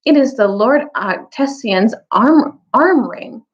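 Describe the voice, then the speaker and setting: A woman's voice: fairly high pitch, expressive, takes it slowly. A woman voicing a noble, alert young male leader in a storybook, emphatic and declarative. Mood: astonished. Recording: dull, lo-fi audio.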